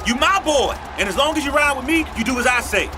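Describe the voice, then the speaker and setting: A man's voice: very high voice, lively intonation, quick pace, music in the background. An actor playing a stern Southern pilot father who gives firm, bossy orders in a radio play. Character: stern barnstormer pilot father, commanding his sons.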